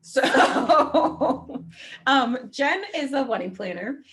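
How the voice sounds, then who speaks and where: A woman hosting a podcast in casual chat, bubbly and giggly in an animated way. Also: high voice, extremely slow. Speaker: podcast host.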